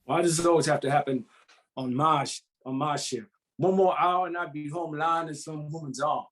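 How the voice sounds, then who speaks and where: A man's voice: on the high side, steady tone, normal speed, a bit bright, lightly acted. A man reading the part of a weary, sardonic police detective in a crime thriller script, with a wry, grumbling manner.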